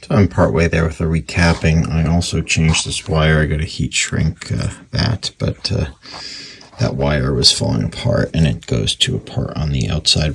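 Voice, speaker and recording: A man giving casual, hands-on repair commentary in a gravelly, husky voice, thinking aloud informally as he works. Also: rumbling low, a bit bright, slight background noise. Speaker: radio repairer presenting his restoration.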